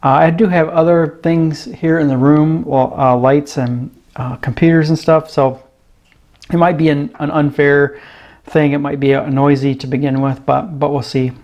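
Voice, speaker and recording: A man giving a casual, hands-on radio review in a room at his desk, talking slowly and filling pauses with ums and uhs. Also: a little high, little pitch movement, darkish tone, dull audio. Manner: unhurried, hesitant, many ums and uhs. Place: indoor room at a desk with gear. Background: radio noise and static at times.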